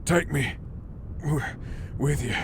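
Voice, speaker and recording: An actor playing a wounded, dying outlaw leader who croaks out halting, broken phrases. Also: male voice, fairly high pitch, noisy background. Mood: pleading.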